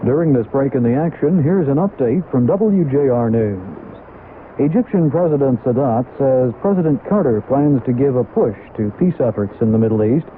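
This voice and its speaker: A man's voice: mid-range voice, expressive, moderate pace. An American man reads a scripted news update in a mid-range voice. It comes through a thin, phone-like AM radio signal from the 1970s, with faint noise underneath. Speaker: radio newsreader.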